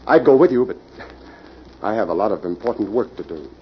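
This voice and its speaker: A man's voice: on the low side, animated, fairly quick, faint music behind, lightly acted. A man playing a hearty, businesslike sawmill boss, speaking emphatically in an old radio drama. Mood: smooth, curious. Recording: muffled old radio recording, faint noise.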